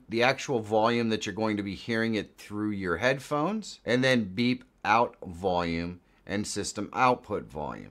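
A man hosting a tutorial, explaining software controls in a casual, conversational way. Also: lowish voice. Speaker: tutorial host.

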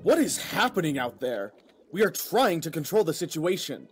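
An indignant underling played by a man demands and challenges in a sci-fi audio drama. His voice is fairly high and very animated, with music behind. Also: moderate pace. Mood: indignant, defiant. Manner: confrontational, demanding.

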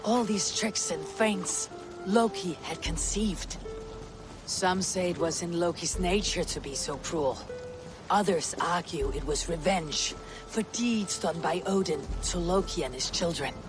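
A woman playing a stoic, weathered Viking warrior in a game, speaking in a measured, grave and formal way. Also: on the low side, over music, lightly acted. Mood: solemn storytelling. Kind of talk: dramatic game cutscene acting, storytelling.

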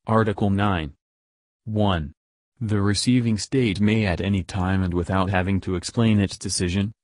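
A man reading a legal treaty aloud in a steady, measured way, enunciating each clause. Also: fairly low pitch, no room sound, studio quality. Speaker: reader of a legal text. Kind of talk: plain read-aloud legal text.

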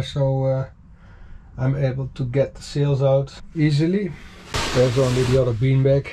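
A man of middling pitch talks through an informal tour as an owner would. His phrasing is a little hesitant, put together piece by piece. Clear ambient noise, like that of the outdoors, sits behind his voice. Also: dark tone. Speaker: boat owner giving a walkaround tour. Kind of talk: informal explanatory tour commentary. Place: aboard a sailing catamaran in a marina, in bow compartments and on deck.

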